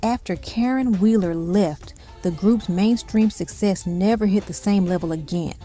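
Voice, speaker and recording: Female narrator for scripted music retrospective. Mellow timbre, mid pitch, storytelling delivery in short, dramatic phrases, light music bed. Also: normal speed.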